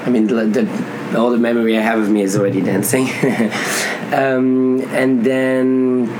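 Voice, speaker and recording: French-accented man chatting over clear noise. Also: mid-range voice, little pitch movement, a little slow. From south of France. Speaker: guest, dancer. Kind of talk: casual interview chat. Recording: clearly noisy room ambience.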